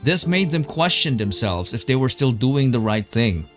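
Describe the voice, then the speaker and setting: A man's voice: medium pitch, big pitch swings, moderate pace. Solemn male narrator over faint music. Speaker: documentary narrator. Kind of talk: scripted narration.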